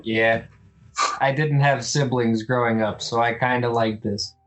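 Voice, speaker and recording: A man's voice: mid-range voice, steady tone, unhurried, a bit bright, rough recording. A man chatting casually as he plays a tabletop role-playing game, describing what his character does.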